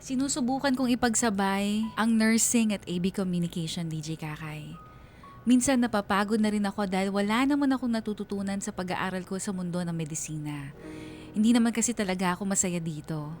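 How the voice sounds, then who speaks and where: A woman's voice: mid-range voice, brisk, darkish tone, lightly acted. Radio drama narrator: actress as young female writer, wistful first-person storytelling, music underneath. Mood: troubled, confiding. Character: young woman writer telling her own life story, wistful.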